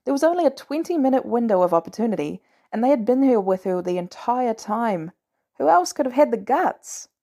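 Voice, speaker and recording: Female narrator for an audiobook-style fan fiction reading; warm, relaxed tone with a lively, expressive read. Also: fairly high pitch. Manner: expressive storytelling, animated delivery. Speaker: podfic reader.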